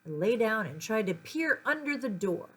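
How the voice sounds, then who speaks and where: A woman reading a children's story aloud in an expressive, dramatic storytelling manner. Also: on the high side, normal speed, slightly rough, poor audio. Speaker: audiobook-style reader.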